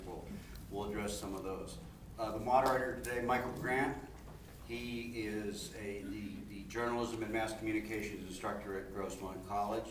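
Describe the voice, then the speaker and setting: A man with a fairly low voice introduces a panel in an informal, anecdotal way. He speaks haltingly and doubles back on words. He sounds distant from the microphone, with clear noise around him. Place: large echoing indoor venue, public forum. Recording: echoey, noisy hall, distant microphone.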